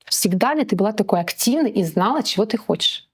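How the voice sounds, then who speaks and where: A woman's voice: mid-range voice, animated, fast talker, slightly rough. A woman hosting an interview, praising her guest and steering with questions. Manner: warm, complimentary, guiding questions. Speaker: host.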